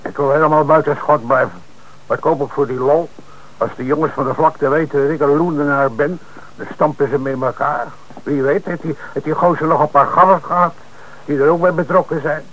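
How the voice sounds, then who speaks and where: A man's voice: fairly high pitch, fairly even intonation, fairly quick, fairly bright tone, slight background noise, lightly acted, low-quality recording. An actor playing a nervous, hesitant male car dealer who is called in as a witness in an old radio play.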